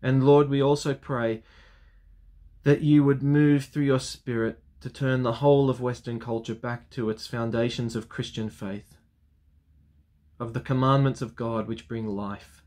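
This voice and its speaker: A man's voice: mid-range voice, flat delivery, a little mellow. Earnest young clergyman at his desk. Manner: earnest, solemn, measured prayer cadence. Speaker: Anglican clergyman. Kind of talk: vlog talk leading a spoken prayer. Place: study with bookshelves, indoors. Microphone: webcam framing at desk.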